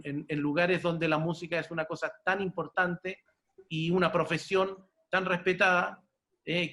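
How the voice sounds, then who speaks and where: A Chilean man hosts an interview in a voice pitched a little high. He talks at length without a script, halting and repeating words while he finds the next phrase. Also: bright, forceful tone. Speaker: host and interviewer. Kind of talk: unscripted interview hosting.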